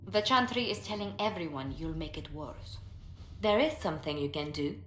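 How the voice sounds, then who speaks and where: An actress playing a poised, tactful advisor in a fantasy video game, speaking politely and with measured diplomacy. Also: female voice, low-pitched, lively intonation. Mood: earnest. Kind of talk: video game cutscene acting. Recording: roomy echo, noisy ambience.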